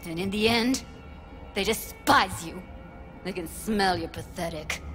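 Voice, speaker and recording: A fiery young rebel techie from a video game, voiced by a woman. She is blunt and bitter in an average-pitched voice, with music clearly behind her. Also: a little slow, fairly bright tone, close to an everyday voice. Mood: scornful, furious. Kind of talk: video game dialogue acting.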